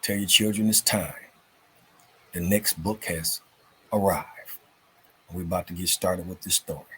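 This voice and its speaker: A man hosting and reading for a children's story show in an informal, folksy, unhurried way, with a husky, raspy voice. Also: deep voice, steady tone, fairly bright tone, slight background noise, rough recording. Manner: folksy, unhurried, addresses listeners as family.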